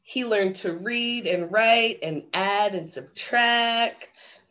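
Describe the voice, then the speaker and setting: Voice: medium pitch, drawn-out words, bright, forceful tone, highs cut off. A woman reading a children's storybook casually, with playful, theatrical asides to the audience. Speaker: drag storytime guest reader. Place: video stream in front of a green screen.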